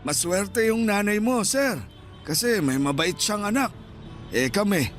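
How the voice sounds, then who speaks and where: High-voiced grumbling old man. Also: lively intonation, a little slow, a bit bright, soft background music. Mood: plaintive. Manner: plaintive, grumbling. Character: grumbling, shy old male street vendor, sixty-five. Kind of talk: Filipino radio drama acting.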